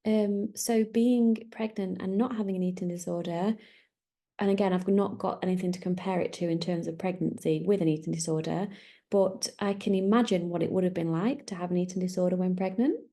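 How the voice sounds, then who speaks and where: Podcast host, woman, 30s, mellow and slightly gravelly, rambling solo chat. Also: lowish voice, fairly even intonation, fairly quick. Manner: chatty, rambling, self-correcting.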